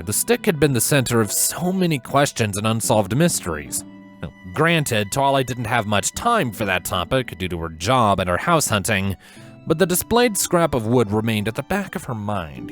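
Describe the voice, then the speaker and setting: A man narrating a scripted story in a measured, dramatic storytelling manner. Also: medium pitch. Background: music.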